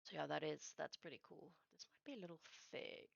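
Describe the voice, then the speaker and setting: A woman with Afrikaans as her first language talks in a casual, halting way while she works, often feeling for the next word. Her voice has a gravelly fry at a middling pitch. The sound is close and dry, though a little dull and lo-fi. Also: steady tone, no echo. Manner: halting, searches for words. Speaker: streamer building game maps live. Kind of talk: casual chat while working.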